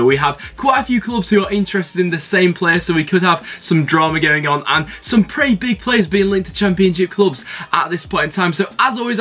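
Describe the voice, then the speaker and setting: A young man with a cold and a slightly croaky voice, hosting a football channel and chatting casually to camera, with lots of fillers. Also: fairly high pitch, a bit bright. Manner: chatty, fan opinions with many fillers. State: has a cold, a bit croaky.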